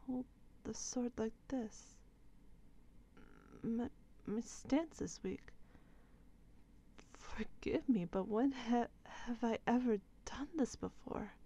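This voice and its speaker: A woman playing a young princess, stubborn yet unsure of herself, in a soft, hesitant ASMR roleplay. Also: a little high, takes it slowly, long pauses. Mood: flustered. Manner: soft, hesitant, breathy asides.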